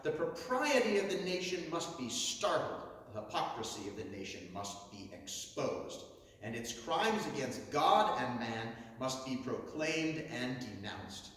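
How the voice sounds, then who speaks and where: A man delivers a theatrical nineteenth-century oration in an emphatic, declamatory manner, pausing for effect. His delivery swings widely in pitch. He is heard at a distance from the mic in a hugely reverberant hall. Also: sing-song, fairly bright tone. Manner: declamatory, emphatic, rhetorical, with dramatic pauses. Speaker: orator reciting a historic speech.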